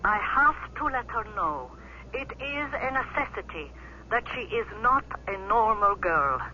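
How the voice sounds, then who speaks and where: A woman's voice: on the high side, very animated, measured pace, bright, forceful tone, lo-fi sound, exaggerated voice. An actress playing an insistent, secretive Russian birth mother in a radio drama, speaking formally with a Russian accent. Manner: formal, commanding diction. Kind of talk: 1970s radio drama acting. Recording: band-limited, noisy 1970s radio recording.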